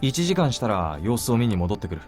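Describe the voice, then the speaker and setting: A calm young man from a visual novel, talks in a fairly low voice. His lines come very fast, dry yet protective. Faint music sits behind him. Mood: dry, caring. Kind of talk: Japanese visual novel voice acting.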